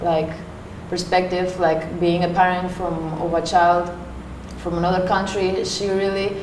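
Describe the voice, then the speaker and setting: A young woman from Panama answering interview questions casually, pausing often with fillers. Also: on the low side, level tone. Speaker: interview guest. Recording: echoey room with faint noise.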